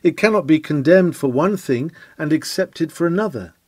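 A man's voice: fairly high pitch, lively intonation, normal speed, no room sound, clean studio sound. A man reading an old sermon aloud in a solemn, declamatory preaching style. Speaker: reader of historic sermons.

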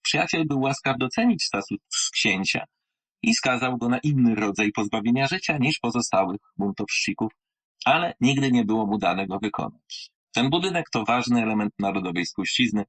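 A man reading and presenting literature in a theatrical, wry manner, with deliberate pauses and a husky voice. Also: mid-range voice, expressive, fairly bright tone, low-quality recording.

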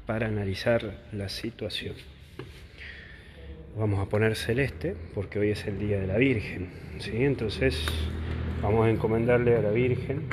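A man's voice: medium pitch, slight background noise. A man in his thirties with an Argentine accent, a priest explaining church teaching in a chatty, joking way to a phone held at arm's length. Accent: Argentine Spanish. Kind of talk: casual catechesis to camera. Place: outdoor courtyard, then indoors.

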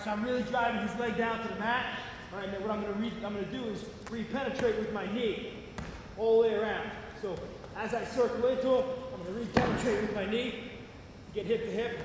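A man's voice: high voice, background noise. A man coaching at a wrestling clinic, who explains each move step by step and keeps saying "all right.". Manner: instructive, repeats "all right" often. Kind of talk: clinic demonstration. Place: large gym arena with wrestling mat. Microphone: far camera. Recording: echoey arena from far off.

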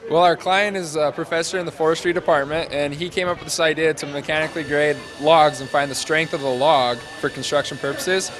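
A man's voice: a little high, steady tone, brisk, hardly any pauses, a bit bright. Young man explaining casually in an interview. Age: 20s. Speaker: interviewee, senior engineering student presenting a project. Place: large glass-walled university hall or lobby. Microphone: handheld interview microphone held out to him.

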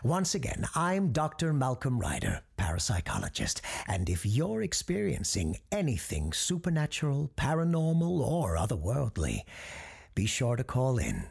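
A podcast host and storyteller giving dramatic, measured scripted narration, with a gravelly voice that drops into hushed tones. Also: medium pitch, huge pitch swings. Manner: theatrical, measured.

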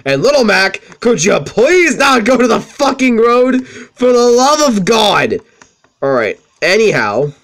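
A young man with a high-pitched voice, swearing freely as he tells rambling stories over gameplay. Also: sing-song, a little slow, fairly bright tone. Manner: profane, rambling, self-deprecating. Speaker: let's play commentator. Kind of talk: casual gameplay commentary with storytelling.

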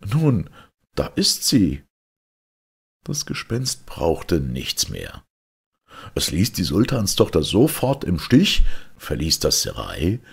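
A male storyteller narrates a fairy tale expressively. His voice is very husky and slow, with hugely varied intonation. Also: rumbling low.

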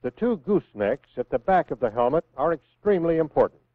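An American man reading scripted instructions in a measured, clearly enunciated way, as the narrator of a 1960s military training film. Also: fairly high pitch, very animated, no room sound. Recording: phone-like vintage 1960s film soundtrack.

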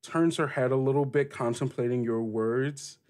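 A man improvising narration as game master of a tabletop game, describing scenes casually and haltingly. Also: medium pitch, steady tone, a little mellow.

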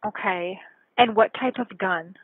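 A woman's voice: medium pitch, measured pace, slight background noise. A woman working as an emergency call taker, asking procedural questions over a telephone line. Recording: tinny narrowband emergency phone line.

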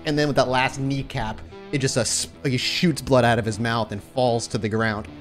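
A man's voice: mid-range voice, fairly even intonation, moderate pace. Man game master narrating over music. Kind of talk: improvised narration of a tabletop roleplaying game. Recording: music behind the voice.

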